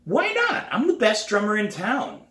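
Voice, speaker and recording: A man's voice: high-pitched, huge pitch swings, unhurried, bright, forceful tone, far from the mic, pitched above the performer's own voice, exaggerated voice. A man voicing an eager, boastful young girl drummer in a storybook reading, pleading and insistent. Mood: indignant, pleading. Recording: roomy, echoey living room.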